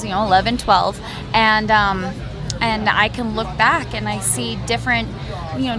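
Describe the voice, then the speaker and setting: A woman band singer talking casually in an interview, circling her points with plenty of "you know" fillers. Her voice sits at an ordinary pitch, and loud music plays clearly behind her, recorded outdoors near traffic.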